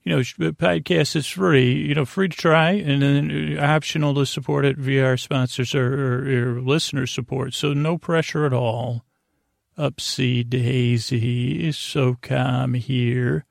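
A man with a creaky voice hosting a bedtime podcast, meandering and halting through a rambling monologue with pauses and tangents. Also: mid-range voice, fairly even intonation, no room sound, studio quality. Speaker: podcast host. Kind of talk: rambling bedtime monologue to help listeners sleep.

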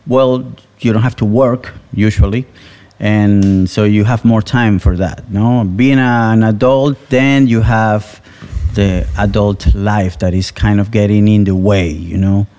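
A man from Venezuela who speaks English as a second language, talking slowly and hesitantly as an interview guest. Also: on the low side, steady tone, mellow. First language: Spanish. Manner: slow and hesitant, tag questions. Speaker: guest musician and sound engineer. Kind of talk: relaxed interview talk.

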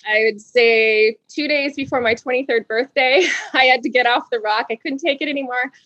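A woman in her forties from Hawaii, a guest telling her story in an interview, bubbly and quick to laugh, restarting words. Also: a little high, fairly bright tone, no room sound, highs cut off.

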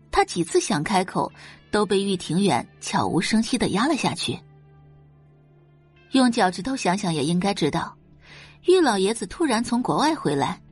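A woman narrating a dramatic audio novel in an expressive storytelling manner. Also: medium pitch, breathy edge. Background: faint background music.

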